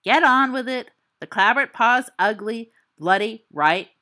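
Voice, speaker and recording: A woman voicing a cocky, teasing teenage boy wizard who heckles loudly in a storybook reading. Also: on the high side, expressive, a little slow. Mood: impatient. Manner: mocking, loud heckling.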